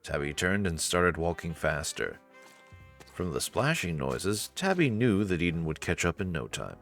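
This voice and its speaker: Very deep-voiced man narrating. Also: big pitch swings, normal speed, fairly bright tone, soft background music. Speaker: narrator and commentator. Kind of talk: reading visual novel narration, casual asides.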